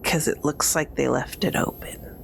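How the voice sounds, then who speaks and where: A woman's voice: sits low, steady tone, moderate pace. A woman chatting casually as a player in a tabletop horror game. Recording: clear background noise.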